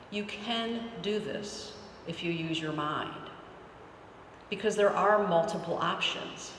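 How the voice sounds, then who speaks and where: A fairly high-voiced man speaks as police chief at a graduation ceremony, earnest and emphatic, leaning on rhetorical questions. The voice rings out in a large hall with long reverb, picked up from a distance over a faint hiss. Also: bright, forceful tone. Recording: echoey hall from far off.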